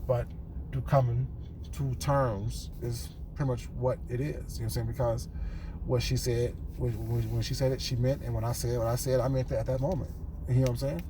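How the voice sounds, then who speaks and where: A man recording a casual vlog on his way to work, with a groggy morning voice, rambling in a relaxed way and often asking "you know what I'm saying". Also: mid-range voice, fairly quick. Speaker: vlogger. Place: car on the way to work. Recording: car and road noise behind.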